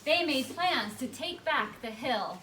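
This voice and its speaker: A woman's voice: sits high, lively intonation, a little slow. A woman hosting a live puppet show, speaking informally to an audience and narrating. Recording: echoey live room, distant mic, loud music and crowd.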